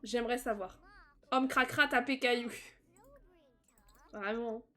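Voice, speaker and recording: A woman's voice: a little high, slow. Chatty young woman streamer. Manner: chatty, laughs often, sudden excited squeals. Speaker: streamer playing a life-simulation game. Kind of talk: casual live-stream commentary.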